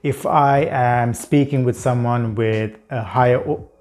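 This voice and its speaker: A man's voice: medium pitch, fairly even intonation, a little slow, a little mellow. A young man hosting a personal vlog, talking earnestly about his week, with repeated words and occasional blocks from a stutter. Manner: reflective and earnest. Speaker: channel host.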